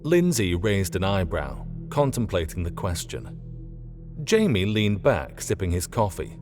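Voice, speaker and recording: A man reading a scripted story as an audiobook narrator, in an expressive storytelling manner. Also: low voice, unhurried, music in the background.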